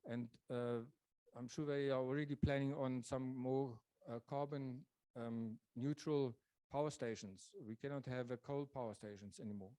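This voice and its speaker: A man giving a public lecture presentation, talking in a thoughtful way and pausing often with fillers and restarts. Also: medium pitch, fairly even intonation, warm tone, no echo. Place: lecture venue.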